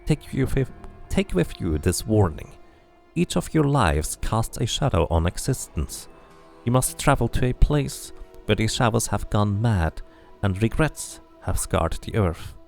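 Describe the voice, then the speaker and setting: A man voicing a solemn male dabus tattooist who speaks in slow, cryptic pronouncements. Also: fairly low pitch, very animated, vocal fry, a little mellow, soft background music, exaggerated voice.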